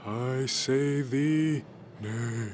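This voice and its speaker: An actor playing a grand, stilted cosmic being who earnestly fancies himself an action hero in a video game. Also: male voice, mid-range voice, very slow, very noisy. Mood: earnest, eager. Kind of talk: comedic video game voice acting.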